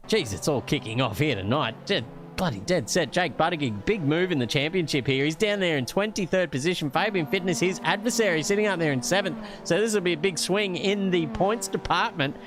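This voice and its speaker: An Australian man co-commentating a sim race in a rambling, slangy way with chuckles. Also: fairly high pitch. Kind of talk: laid-back race commentary.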